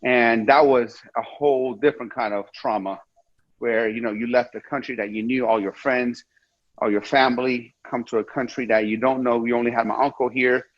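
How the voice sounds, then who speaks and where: A man's voice: mid-range voice, fairly even intonation, normal speed, dry recording. A man in his forties from Iran speaks as an interview guest over a video call. He talks earnestly and circles back on his own phrases, repeating words and restarting sentences. The audio is dry and somewhat muffled, with no room sound around it. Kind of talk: casual interview talk. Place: indoor room with ceiling fan, video call.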